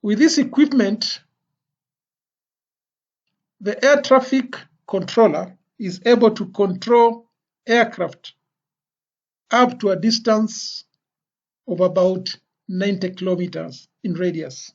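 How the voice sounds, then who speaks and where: An instructor giving an explanatory lecture in a deliberate, phrase-by-phrase way with pauses. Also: medium pitch. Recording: dull, lo-fi voice recording.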